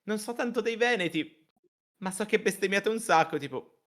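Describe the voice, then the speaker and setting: A man's voice: high voice, moderate pace, fairly bright tone. A man chatting casually and playfully as co-host of a live stream, dropping English phrases into his talk. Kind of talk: casual chat.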